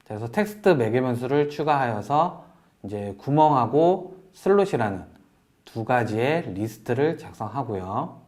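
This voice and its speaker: A man presenting a software tutorial in a polite, explanatory way, walking through each step as an instructor. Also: mid-range voice, expressive, measured pace. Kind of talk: software tutorial narration over screen demo.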